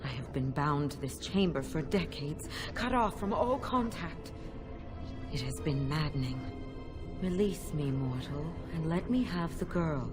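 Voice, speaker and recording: Low, silky and coldly formal, this sly demon cat speaks with possessive calm. Game music plays beneath her voice. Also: measured pace. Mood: scheming. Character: sly demon in the form of a talking cat, female. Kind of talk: video game cutscene voice acting. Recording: game audio with music behind.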